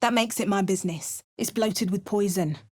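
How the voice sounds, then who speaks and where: A woman's voice: mid-range voice, normal speed, natural voice. A woman with an English accent voicing a hardened, wry female adventurer in a video game, making dry remarks and chanting spells. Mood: brooding. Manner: chants spell words in a lively, sing-song way. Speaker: player character.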